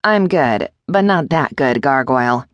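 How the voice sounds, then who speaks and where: A woman's voice: rumbling low, lively intonation, measured pace, no echo, muffled recording. A woman narrator voicing a defiant, sardonic enslaved woman in a fantasy audiobook, with wry, blunt delivery.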